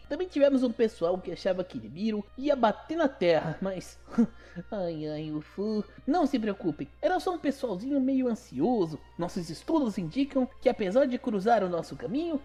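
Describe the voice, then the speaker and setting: A woman's voice: on the high side, mellow. A Brazilian woman voicing a cute, childlike planetarium mascot in an audio drama, cheerful and chatty like a children's show presenter. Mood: reassuring, chirpy. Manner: bubbly children's presenter with a verbal tic.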